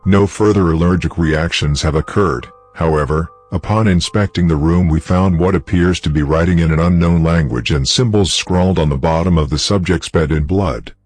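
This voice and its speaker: A man narrating a scripted horror story as a series of clinical reports, in a formal and measured manner. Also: low voice.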